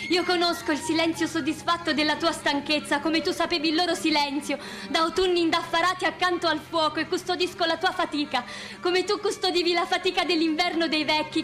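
An actress playing a proud, grieving widow, reciting poetically in a theatrical radio drama. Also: female voice, very high voice, fairly bright tone.

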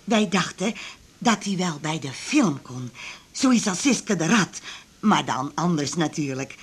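A woman's voice: deep voice, expressive, unhurried, husky, exaggerated voice. An actress playing a chatty, doting but bossy working-class mother, colloquial and nagging, in a comic radio drama.

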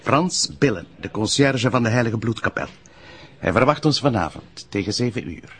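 A man's voice: mid-range voice, animated, moderate pace, lightly acted. A man playing a cultured, dryly witty police sidekick with a Flemish accent in a radio drama. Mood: cultured, calm. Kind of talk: naturalistic radio drama acting. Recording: noisy ambient background.

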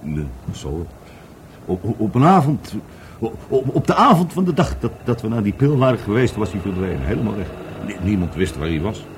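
Drunken, bitter divorcee in radio play: stammering, repetitive, very animated delivery, slightly noisy recording. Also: male voice, on the low side, brisk. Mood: agitated, tipsy. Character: drunken, rambling, bitter divorced former business partner. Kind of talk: Dutch radio play acting.

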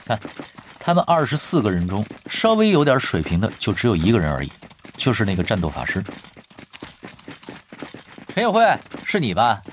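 A man's voice: lowish voice, huge pitch swings, normal speed, pauses often, a little hiss or hum. A male actor playing a confident, casually teasing gamer tactician in a Chinese radio drama, explaining things in an offhand way. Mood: amused, confident, then taunting. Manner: offhand, self-assured, explanatory. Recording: background music under the voice.

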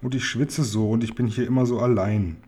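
A man voicing a small, lonely boy in a storybook, timid, pleading and halting. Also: mid-range voice, steady tone, a little mellow, breathy edge. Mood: tearful pleading.

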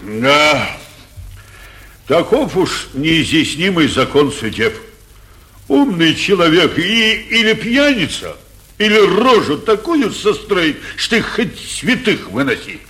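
A blustering town mayor, swooping pitch. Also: male voice, big pitch swings, takes it slowly, bright, forceful tone, full character voice. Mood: exasperated. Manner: emphatic, theatrical, exasperated. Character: blustering, anxious provincial town mayor, middle-aged man. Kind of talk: 1940s theatrical radio comedy acting.

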